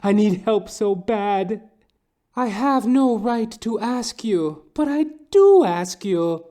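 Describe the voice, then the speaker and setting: A man's voice: high-pitched, lively intonation, takes it slowly, mellow, pitched above the performer's own voice. A man voicing a desperate, melodramatic wife begging for help in a comedic detective audio play. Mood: desperate pleading.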